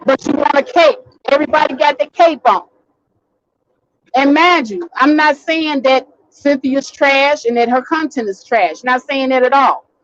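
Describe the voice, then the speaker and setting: A woman hosting a casual live stream from her car, talking bluntly and swearing freely, getting a little hoarse. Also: sits high, a little slow, lo-fi sound. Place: in a vehicle, driving.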